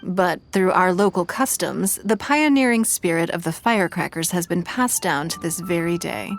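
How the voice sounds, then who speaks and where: An actress playing a poised, authoritative noblewoman who leads a city, speaking in a composed, measured and formal way. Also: on the low side, animated, soft background music. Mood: calm. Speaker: city leader. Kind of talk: video game voice acting.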